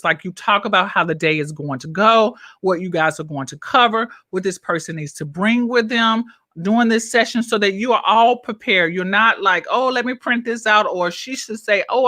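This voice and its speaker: Chatty woman coach with a bright voice. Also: mid-range voice, no room sound, clean studio sound. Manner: chatty, talks straight to viewers and reads their comments. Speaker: business coach. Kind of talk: casual live-streamed coaching talk. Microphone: own microphone, checked on her phone.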